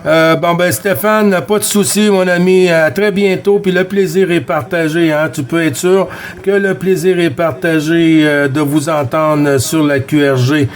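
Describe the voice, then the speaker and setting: A man's voice: fairly high pitch, fairly even intonation, measured pace. A man with a Québécois accent working as a CB radio operator, chatting in a friendly, courteous, repetitive way with other stations. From Quebec, Canada. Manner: friendly, chatty, courteous, often repeats himself. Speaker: CB radio operator running a contact net. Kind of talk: CB radio contact chat.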